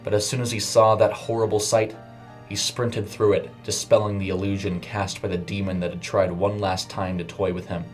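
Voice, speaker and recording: A low-voiced man reads a horror short story as its narrator. His delivery is dramatic and scripted, and music plays beneath the voice. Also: flat delivery, moderate pace. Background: music under the voice.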